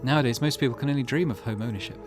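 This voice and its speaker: A man's voice: mid-range voice, racing, music in the background, natural voice. A man voicing a weary, grieving middle-aged mother from a visual novel, reading her old-fashioned, wistful thoughts aloud. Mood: reflective.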